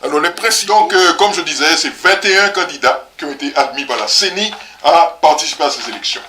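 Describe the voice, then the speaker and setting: A man's voice: fairly high pitch, fairly quick, echoey room, far from the mic, noisy background. A man born in Congo, co-hosting a community talk show and explaining the news with many hesitations. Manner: explanatory, with frequent "hein" and hesitations. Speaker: co-host. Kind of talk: conversational current-affairs talk show.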